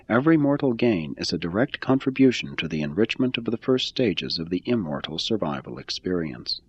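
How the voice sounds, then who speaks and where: A man reading a religious text aloud in a measured, even-paced scripted style. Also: low-pitched, breathy edge, dry recording, dull audio. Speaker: reader.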